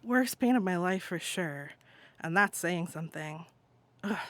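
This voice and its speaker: A woman performing an exasperated adult venting to a cousin in a casual, halting phone-call monologue. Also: fairly low pitch, expressive, a bit bright, slight background noise. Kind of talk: dramatic monologue, conversational stage reading.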